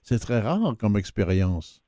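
A man's voice: fairly low pitch, big pitch swings, slow pace, warm tone, no echo, studio quality. A man co-hosting a radio interview, asking questions in a joking, playful way. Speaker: radio host.

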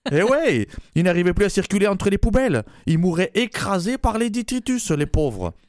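A man's voice: high-pitched, sing-song, moderate pace, no room sound, clean studio sound. A man doing a bawdy Toulouse woman. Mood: cheeky. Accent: Toulouse, southern French. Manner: bawdy, punning, chuckles at own jokes. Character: brash mannish Toulouse woman, ex-rugby player, bawdy and boastful. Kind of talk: radio comedy sketch.

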